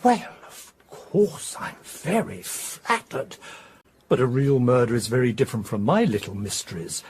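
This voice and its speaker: An actor playing an excitable, scatterbrained mystery writer with an English accent, effusive and rambling, in a radio drama. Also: male voice, medium pitch, measured pace, a little hiss or hum. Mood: musing, flustered.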